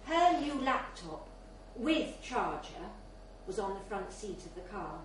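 A woman's voice: medium pitch, very animated, takes it slowly. A woman reading a literary short story aloud as scripted narration at a live event in a bar. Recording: very echoey, distant mic, faint noise.

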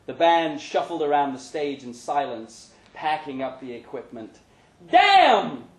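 A man's voice: medium pitch, moderate pace, darkish tone. A man giving a scripted narration of a short story at a live reading indoors. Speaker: reader of short fiction. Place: pub event, indoors. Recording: echoey live room.

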